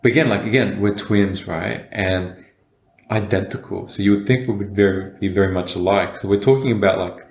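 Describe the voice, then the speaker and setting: Deep-voiced young man, echoey room. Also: fairly quick. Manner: talks in long explanatory runs. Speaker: podcast co-host. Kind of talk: casual chat. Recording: echoey room with faint noise.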